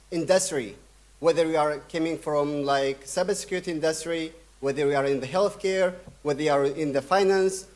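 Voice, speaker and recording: Young male keynote speaker from Sudan, slightly high voice, hesitant delivery full of fillers. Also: a little mellow. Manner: halting, many fillers and restarts. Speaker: conference speaker. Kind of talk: conference keynote talk.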